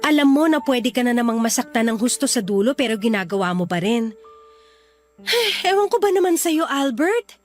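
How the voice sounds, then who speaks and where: A woman's voice: on the high side, big pitch swings, moderate pace. A woman playing a caring but exasperated friend in a Filipino radio drama, earnestly admonishing with a Filipino accent. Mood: exasperated, scolding. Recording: dramatic music behind the voice.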